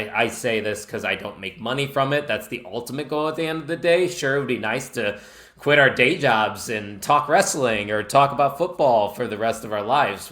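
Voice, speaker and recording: An American man with a fairly low voice and a Chicago accent. He talks at length as a podcast guest, earnest and eager, and drifts off the question. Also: a bit bright. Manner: talkative, earnest, enthusiastic, drifts off the point. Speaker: podcast guest, wrestling network founder. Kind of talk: casual podcast chat.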